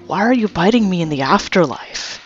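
A woman's voice: lowish voice, lively intonation, fairly quick, muffled recording, faint music behind, close to an everyday voice. A woman voicing a bewildered, exhausted man lost in the desert, in an incredulous, questioning manner for an audiobook. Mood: bewildered, indignant. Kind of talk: audiobook character voice.

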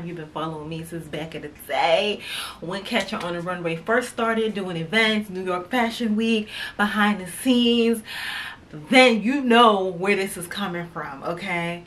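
A woman's voice: on the low side, a bit bright, a little hiss or hum. A young woman chatting emphatically to her viewers in a casual try-on haul, calling them "y'all". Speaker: fashion vlogger. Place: indoors in front of a painted wood backdrop.

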